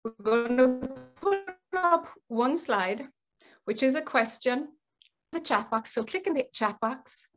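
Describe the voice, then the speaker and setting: A woman's voice: sits high, lively intonation, measured pace, fairly bright tone, faint music behind. A middle-aged woman co-hosting an online webinar, guiding the group informally with direct, short prompts over a video call. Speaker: webinar co-host. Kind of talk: informal guided group facilitation. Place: home room with bookshelves, indoors.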